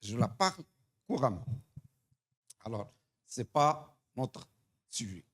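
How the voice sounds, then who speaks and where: A man from Morocco, a native Tamazight speaker, speaking from the floor for a human rights group, slow and deliberate. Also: breathy edge. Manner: slow, deliberate, lively pitch. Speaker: human rights association representative.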